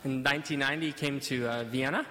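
Bright-voiced hesitant male interpreter. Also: medium pitch, steady tone, long reverb tail, low-quality recording. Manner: hesitant, halting, many fillers. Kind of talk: live sermon interpreting.

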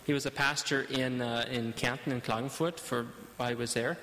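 A man interpreting a sermon live, halting often with fillers as he searches for words. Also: medium pitch, fairly even intonation, pushed and bright, in a large hall. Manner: hesitant, halting, many fillers.

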